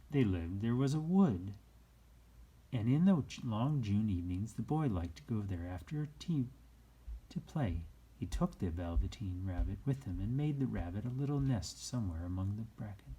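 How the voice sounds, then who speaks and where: A man reading a children's story aloud as an expressive narrator. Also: on the low side, breathy edge.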